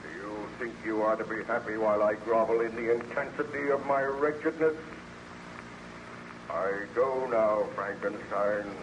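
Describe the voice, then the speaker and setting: A man playing a vengeful, tormented monster in an old-time radio drama, speaking slowly and grandly with menace. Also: fairly low pitch, fairly even intonation, reverberant, highs cut off, lightly acted. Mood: bitter, menacing. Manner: slow, grandiose, threatening. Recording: noisy old radio recording.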